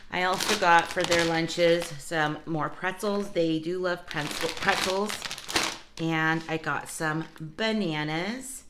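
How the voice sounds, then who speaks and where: A woman vlogger chatting casually to her viewers, hoarse from a cold and losing her voice. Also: lowish voice, very noisy. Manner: chatty, talks straight to viewers with asides. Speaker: vlogger showing a grocery haul. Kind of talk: casual chatty vlog talk.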